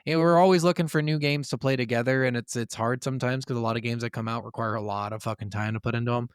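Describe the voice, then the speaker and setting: A man's voice: mid-range voice, steady tone, quick pace, smooth, no echo, studio quality. A man co-hosting a podcast, chatting casually about games in an informal way and swearing freely. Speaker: podcast co-host. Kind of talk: casual gaming chat.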